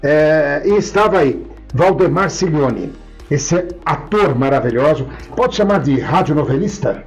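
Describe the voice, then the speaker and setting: A man's voice: on the high side, animated, measured pace, muffled recording, faint music behind. A man with a Brazilian Portuguese accent hosting an interview, effusive and exclaiming, repeating words for emphasis as he addresses viewers. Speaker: interview show host and professor.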